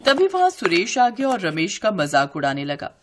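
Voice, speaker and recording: Female narrator for family tales, medium pitch, highly varied intonation, scripted storytelling. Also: wildly swooping pitch, normal speed.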